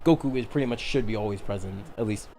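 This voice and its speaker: A man's voice: on the low side, fast talker, warm tone, a little hiss or hum. A man in his twenties chatting casually as a podcast co-host, rambling through explanations in slangy language. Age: 20s. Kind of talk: casual chat about anime and manga.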